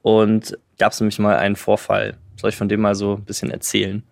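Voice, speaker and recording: A man, telling stories casually and haltingly as a guest at home. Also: fairly low pitch, completely flat delivery, gravelly, a little mellow. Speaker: podcast guest. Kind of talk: casual conversational storytelling. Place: his own apartment.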